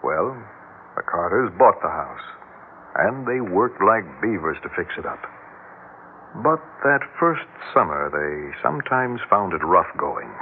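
A man's voice: sits low, big pitch swings, measured pace. An actor playing an affable realtor who narrates in a folksy salesman's patter, in a 1960s radio drama. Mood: reminiscing. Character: affable older-style realtor showing a country house, narrating. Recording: noisy, narrow-band old 1960s radio recording.

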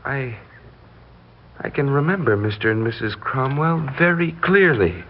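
A man's voice: medium pitch, animated, a little slow, slight background noise, close to an everyday voice. An actor playing an earnest young man, a butler's son, hesitant yet insistent, in a 1960s radio drama. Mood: hesitant, certain. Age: young adult. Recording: muffled 1960s radio recording.

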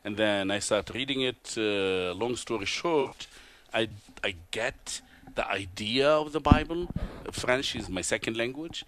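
A man from Tunisia with an Arabic accent, a radio guest telling his life story in an earnest, halting way with many pauses and restarts. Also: medium pitch, fairly bright tone, slight background noise. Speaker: guest, TV host and author. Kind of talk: interview testimony. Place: convention broadcast booth.

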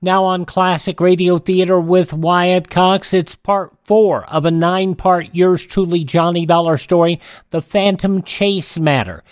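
A man hosting a classic radio program, reading introductions in a measured announcer style. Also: high voice, fairly even intonation, clear, resonant tone, dry recording, studio quality. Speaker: radio show host.

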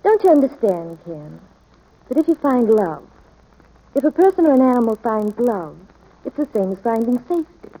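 A woman playing a patient, gently reasoning ranch mother in a 1940s radio drama, thoughtful and measured. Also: on the high side, sing-song, lo-fi sound. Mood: gentle, thoughtful. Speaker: actor in radio play.

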